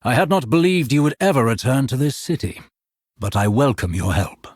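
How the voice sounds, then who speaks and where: An actor playing a dignified, courteous elven leader in a fantasy game, with a breathy voice and formal, gracious delivery. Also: male voice, mid-range voice, very animated, brisk, dry recording, studio quality. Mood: grave welcome. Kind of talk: dramatic fantasy game acting.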